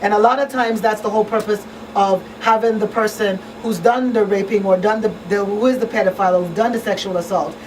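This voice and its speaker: A woman's voice: mid-range voice, fairly even intonation, fast talker, few pauses, darkish tone, recorded from a distance, slight background noise, low-quality recording. A woman hosting a conversational community radio talk show, speaking in an earnest, emphatic way as an advocate. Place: small radio studio. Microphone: studio microphone on boom arm.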